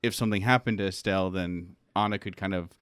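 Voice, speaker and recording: Male game master, lowish clean voice, loose and informal explainer on a casual panel. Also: steady tone, quick pace, a bit bright, clean tone, no room sound, clean studio sound. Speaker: game master of a roleplay audio drama.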